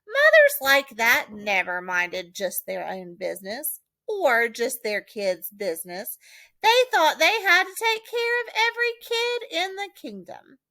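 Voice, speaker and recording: A woman reading a children's storybook expressively, with dramatic, deliberate emphasis. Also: high-pitched, very animated, bright, forceful tone, no room sound. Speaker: audiobook reader, teacher.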